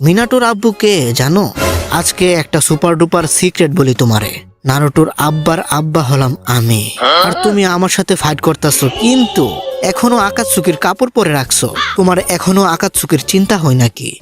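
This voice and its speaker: Guy doing old anime villain, highish voice thru voice changer, boastful n teasing, music playing. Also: very animated, fairly quick. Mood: boastful, mocking. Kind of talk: comic amateur anime parody dub.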